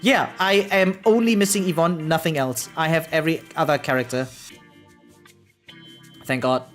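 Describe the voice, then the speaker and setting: Excitable gaming streamer over music. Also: mid-range voice, moderate pace. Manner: excitable, swears freely, slangy. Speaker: variety game streamer. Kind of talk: casual livestream chat about gacha games. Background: background music.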